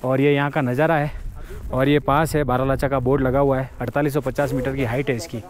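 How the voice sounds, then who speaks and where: A man's voice: mid-range voice, little pitch movement, brisk. A man in his thirties vlogging a mountain road trip from inside a car, casually pointing out the sights to his viewers. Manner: chatty, pointing things out to viewers. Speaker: travel vlogger.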